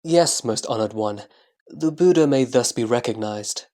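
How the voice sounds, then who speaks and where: A man playing a wise, reverent elder disciple who speaks in a respectful, measured way in a scriptural audio drama. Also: mid-range voice, expressive, darkish tone, close to an everyday voice.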